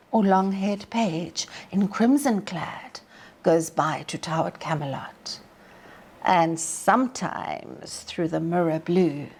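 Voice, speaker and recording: A woman teacher introducing and reading a narrative poem to schoolchildren in a deliberate, expressive way. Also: mid-range voice. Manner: deliberate, expressive, addressing children. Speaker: teacher reading a story to pupils. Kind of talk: storytime introduction and dramatic poetry reading.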